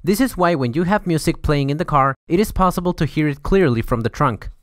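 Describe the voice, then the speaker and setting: A man's voice: on the high side, animated, fairly quick, a bit bright, no room sound, studio quality. A young man narrating a scripted educational explainer in a formal, methodical way as the host. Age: young adult. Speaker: host of an educational audio series.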